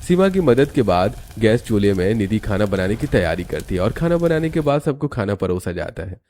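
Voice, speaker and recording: A man narrates a cartoon family story from a script. His voice sits fairly low. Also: big pitch swings, fairly quick, mellow.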